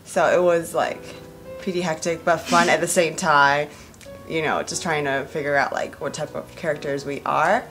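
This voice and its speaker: A woman's voice: low voice, fairly even intonation, moderate pace, fairly bright tone, faint music behind, rough recording. A woman in her twenties, an actress answering interview questions in a rambling way, full of "like" and "you know". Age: 20s.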